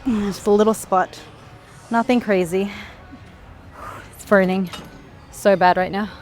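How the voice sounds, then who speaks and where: A woman's voice: mid-range voice, steady tone, takes it slowly, darkish tone. A woman fitness vlogger explaining exercises while she does them, a little out of breath, with effortful sighs and exhales. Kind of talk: casual workout instruction. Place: gym.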